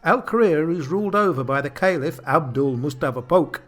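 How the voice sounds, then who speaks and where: A man's voice: a little high, expressive, normal speed, a bit bright, soft background music. A man narrating a comic radio play from a script in a mock-solemn manner.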